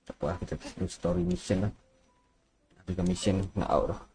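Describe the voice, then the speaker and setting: A man's voice: low-pitched, fast. A Malaysian man chatting casually as he live-streams a game, mixing in English words. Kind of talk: casual live-stream chat while gaming. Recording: faint steady background noise.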